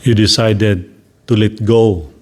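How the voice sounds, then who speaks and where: A man with a Filipino English accent, a priest leading a slow, gentle guided reflection for a gathering. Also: fairly low pitch, steady tone. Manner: slow, deliberate, gently inviting.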